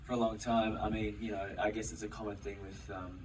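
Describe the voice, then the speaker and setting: A man with a low, flat voice speaks slowly and reflectively, stopping often on "uh" and "um". He sounds far from the audience member's camera that records him, and the noise of a big theater surrounds him. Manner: hesitant, full of "uh" and "um" fillers. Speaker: panelist. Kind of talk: reflective panel Q&A answer. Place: large theater, Q&A on stage. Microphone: audience member's camera from the seats.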